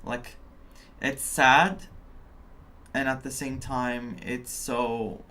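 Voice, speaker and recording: Unhurried non-native English man, game streamer. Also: medium pitch, fairly even intonation, bright, forceful tone. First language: not English. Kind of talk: casual live commentary on a game.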